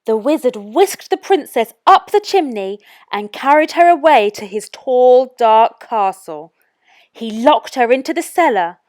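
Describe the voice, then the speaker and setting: A woman's voice: a little high, darkish tone. A woman presenting storytime, reading aloud expressively for children.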